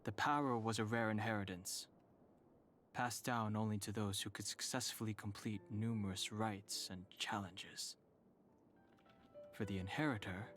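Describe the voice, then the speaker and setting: An actor voicing a calm, reserved young man in a video game, explaining in a measured, grave way. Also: lowish voice. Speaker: game character. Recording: game audio with faint music behind.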